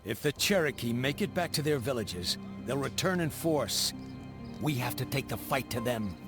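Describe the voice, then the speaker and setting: Man playing video game mercenary captain, gruff, commanding, sardonic, expressive swings in pitch, loud score underneath. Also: normal speed, natural voice. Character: bold male colonial mercenary commander, gruff and dutiful. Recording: orchestral music behind the voice.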